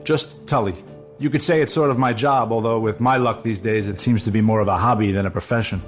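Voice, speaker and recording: A man's voice: fairly low pitch, steady tone, fast, natural voice. An actor playing a wry, down-on-his-luck sailor in an audio drama, with an American accent and a casual, slightly hesitant way of talking. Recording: music under the dialogue.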